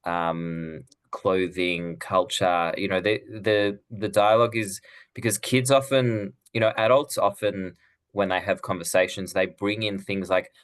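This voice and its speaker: Deep-voiced earnest man, guest author. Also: level tone, measured pace. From Israel, raised in Australia. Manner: earnest, thoughtful, many restarts. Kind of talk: conversational interview.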